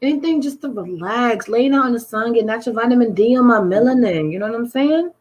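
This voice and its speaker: A woman's voice: lively intonation, quick pace, darkish tone. A woman chatting casually, playful and emphatic, with big swings in her voice. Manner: emphatic and playful, swinging up high on quoted lines. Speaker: guest in conversation. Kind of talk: casual chat.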